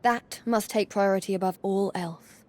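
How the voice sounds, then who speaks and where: A woman's voice: medium pitch, fairly even intonation, a little mellow, close to an everyday voice. A woman playing a dutiful, solemn young Valkyrie warrior in a video game, speaking formally and sternly. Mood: solemn, resolute. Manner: formal, stern, measured diction. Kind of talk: video game cutscene acting. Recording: music behind the voice.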